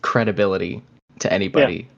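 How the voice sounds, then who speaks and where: Male podcast co-host, lower register, casual and halting, slightly lo-fi audio. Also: vocal fry, slight background noise. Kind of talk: casual chat.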